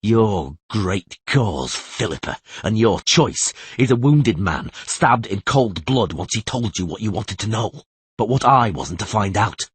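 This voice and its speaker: A man voicing a wounded monster hunter in a fantasy audiobook, slow, bitterly sarcastic and coldly angry. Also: fairly low pitch, lively intonation, husky, dry recording, heavily acted. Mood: bitter anger. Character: wounded male monster hunter, bitter, sardonic, cold anger.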